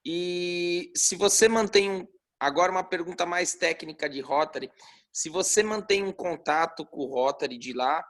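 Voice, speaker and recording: A man with a Brazilian Portuguese accent, a club member who asks questions at a meeting in a halting way, with restarts. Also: on the high side. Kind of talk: casual meeting talk.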